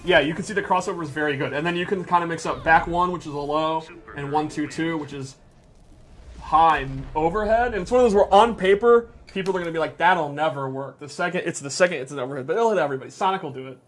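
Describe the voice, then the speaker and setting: A man's voice: a little high, quick pace. A man giving casual gameplay commentary with a joking, self-deprecating manner. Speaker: game stream commentator and player.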